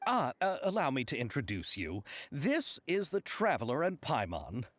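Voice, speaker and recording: An actor playing an anxious, polite, idealistic film director in a video game, hesitant, apologetic and earnest. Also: male voice, medium pitch, huge pitch swings, moderate pace, fairly bright tone. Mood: flustered. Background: game music.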